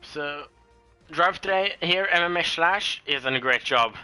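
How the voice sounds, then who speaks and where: A man's voice: a little high, unhurried, pushed and bright, clear, resonant tone, soft background music. A man with a Norwegian accent, a second-language English speaker, doing solo live commentary of a sim race, hesitant with many fillers and self-corrections.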